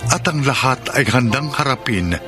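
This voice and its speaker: A man with a Filipino accent giving solemn, measured, dramatic scripted narration as a radio drama host. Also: medium pitch, expressive. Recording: loud music under the voice.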